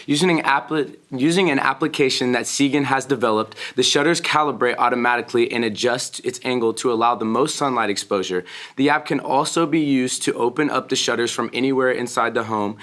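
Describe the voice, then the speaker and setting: A young man from Texas presents a product from a script. His medium-pitched voice is careful, and he sometimes stops to correct a word. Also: a little fast, fairly bright tone. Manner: careful reading with small self-corrections. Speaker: student presenter. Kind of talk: reading a prepared presentation.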